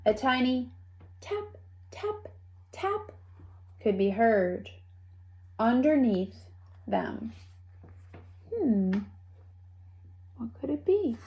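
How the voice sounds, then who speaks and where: A woman reads a picture book aloud to children. Her voice sits a little high and she takes her time, telling it expressively and adding playful asides for her young listeners. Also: big pitch swings, extremely slow, long pauses, highs cut off. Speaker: storybook reader. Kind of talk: children's read-aloud storytelling.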